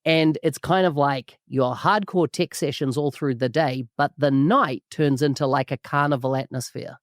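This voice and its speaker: A man's voice: medium pitch, moderate pace, no echo, clean studio sound. A man hosting a podcast, enthusiastic and full of praise. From New Zealand. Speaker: podcast host. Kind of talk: podcast interview.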